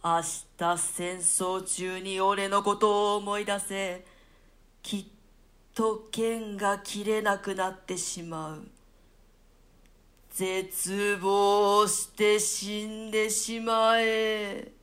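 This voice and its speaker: A woman voicing the ghost of a drowned duke in a radio play reading, speaking slowly and deliberately. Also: level tone, fairly bright tone. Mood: grim. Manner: slow, low, deliberate delivery. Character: ghost of a drowned male duke, grim and cursing.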